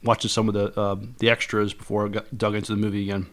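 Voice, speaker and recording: Halting man with a lowish voice. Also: flat delivery. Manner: halting, repeats words. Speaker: podcast co-host. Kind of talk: casual chat.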